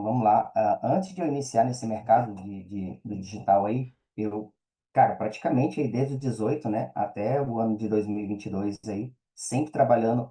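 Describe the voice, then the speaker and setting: A man's voice: lowish voice, dark tone, rough recording. A man with a Brazilian accent, a podcast guest telling his own story casually in slangy, colloquial speech full of tag questions.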